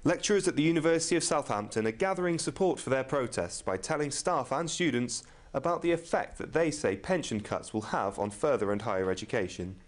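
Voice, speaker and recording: A man's voice: mid-range voice, lively intonation, fairly quick, hardly any pauses. A man working as a reporter, reading a scripted news report.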